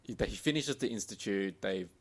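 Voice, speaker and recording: A man co-hosting a podcast, discussing books casually and haltingly, rephrasing as he goes. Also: lowish voice. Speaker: podcast co-host.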